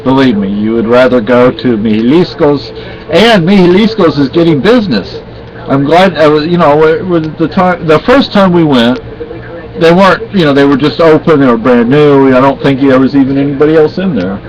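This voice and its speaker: A man's voice: on the high side, moderate pace, dull audio. A middle-aged man from Spain chatting casually and rambling on a home vlog, often correcting himself. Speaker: vlogger. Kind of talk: casual chat.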